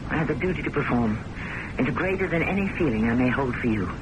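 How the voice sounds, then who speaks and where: A woman's voice: very deep voice, lively intonation, fast talker. On a noisy vintage radio recording, a resolute, accusing woman. Her delivery is emphatic and sarcastic, swinging through a wide melodramatic range. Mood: anguished, resolute. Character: accusing, resolute woman who worships and defies her boss. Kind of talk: vintage radio drama acting.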